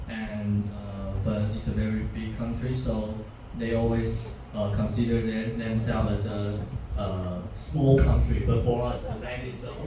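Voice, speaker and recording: A man in his thirties from Taiwan giving a talk to university students, halting, with many restarts and fillers. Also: fairly low pitch, little pitch movement, far from the mic, noisy background. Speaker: guest speaker. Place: university classroom. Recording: echoey lecture room from afar.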